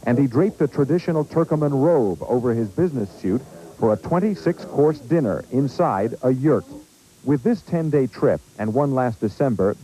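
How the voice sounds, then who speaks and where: A man's voice: medium pitch, warm tone, husky, poor audio. A man with an American accent narrating a scripted news report as a correspondent.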